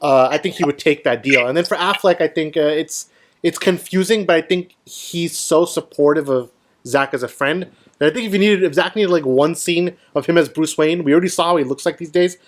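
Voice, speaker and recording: A man's voice: a little high, fairly quick. A man hosting a casual film discussion, explaining his view and putting questions to the panel. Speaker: host of a film discussion show.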